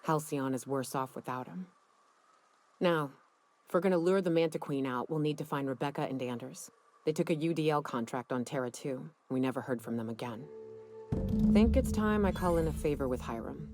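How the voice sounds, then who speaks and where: An actress playing a tough, blunt companion who speaks in a matter-of-fact way. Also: female voice, sits low, a little fast, a little mellow, soft background music. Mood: resolute.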